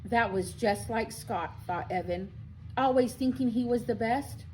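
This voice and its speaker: A woman's voice: on the high side, measured pace, dark tone, slight background noise, poor audio. A woman reading a children's story aloud expressively, like a school librarian at storytime.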